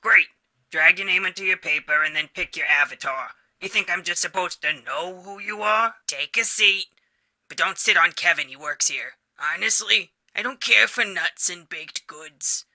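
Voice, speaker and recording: A man's voice: sits high, normal speed, sharp tone, full character voice, low-quality recording. A man voicing a fussy, put-upon office receptionist in a comedic video game, with a prissy, passive-aggressive manner. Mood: impatient, aggrieved.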